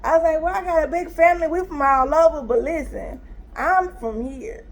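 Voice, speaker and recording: Chatty woman with a high, gravelly voice. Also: normal speed. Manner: animated retelling, acts out both sides with quoted dialogue; talkative, laughing, exasperated. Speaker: storyteller. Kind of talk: casual storytime vlog.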